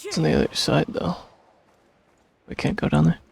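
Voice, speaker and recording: A man's voice: a little high, wildly swooping pitch, normal speed, vocal fry. A man streaming a video game, giving casual commentary and reading game text on a close microphone. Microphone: close streaming microphone, dry. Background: game music.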